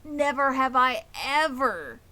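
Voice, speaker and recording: A woman voicing a gluttonous, boastful, bloated male rat in a storybook, with a husky voice. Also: sits high, animated, slow, sharp tone, voice pushed up, heavily acted. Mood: gloating, exhausted.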